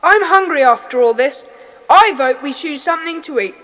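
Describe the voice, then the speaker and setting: A woman playing a lively, cheeky young child in a children's audio drama. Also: high-pitched, moderate pace. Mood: eager. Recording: long hall-like reverb on voice.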